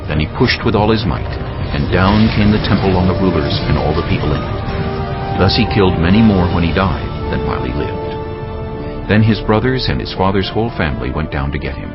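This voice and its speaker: A man narrating a dramatized audio Bible in a solemn, measured storytelling manner. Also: low-pitched, expressive. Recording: slightly dull sound, faint music.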